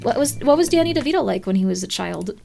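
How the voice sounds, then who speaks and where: A woman's voice: very animated, quick pace, creaky, dark tone, faint music behind. A young woman streaming live, chatting casually with viewers and reading their comments, rambling with many filler words. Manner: rambling, lots of "like" fillers, laughs often, swings up and down in pitch.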